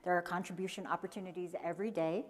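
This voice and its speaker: A woman's voice: lowish voice, fairly even intonation, very fast. Upbeat woman co-hosting a conference. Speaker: event co-host. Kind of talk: conference stage announcements. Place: large conference hall. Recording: long hall reverb, faint ambience.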